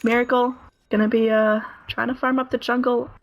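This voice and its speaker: A woman giving live play-by-play commentary on an esports match. Her voice is hoarse, and she keeps up a running narration with frequent "uh" fillers. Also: fairly high pitch, level tone, brisk, low-quality recording.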